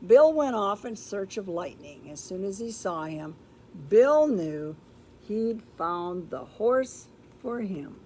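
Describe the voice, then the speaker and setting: Slow-paced older woman reading a storybook. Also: medium pitch, lively intonation, a little mellow, slight background noise. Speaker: storybook reader. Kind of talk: read-aloud children's storytelling. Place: indoor room with books, like a classroom or library.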